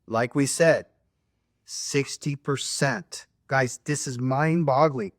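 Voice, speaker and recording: A man's voice: mid-range voice, takes it slowly, no room sound, clean studio sound. A man co-hosting a spiritual talk show, speaking earnestly in a preachy way. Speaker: co-host.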